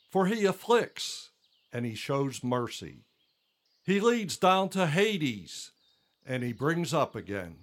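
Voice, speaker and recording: An older man playing a devout, grateful elderly father in a religious audio drama, with fervent, reverent delivery. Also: on the high side.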